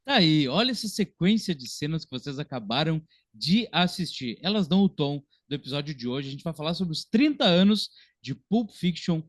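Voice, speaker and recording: A man's voice: fairly high pitch, expressive, moderate pace, hardly any pauses. A Brazilian man in his thirties hosting a podcast, talking about film in an informal, talkative, conversational way. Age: 30s. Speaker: podcast host.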